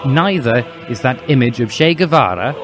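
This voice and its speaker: A man's voice: medium pitch, lively intonation, normal speed, music in the background, natural voice. Man playing a stern, smug schoolmistress. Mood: stern, scolding. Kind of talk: comic dramatic reading.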